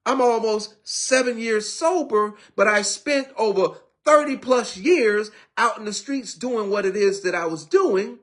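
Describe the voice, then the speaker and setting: A man's voice: high-pitched, unhurried, hardly any pauses, fairly bright tone. A man in his fifties giving a streetwise personal testimony, preachy in tone and full of restarts and repeated words. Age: 50s. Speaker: recovery speaker.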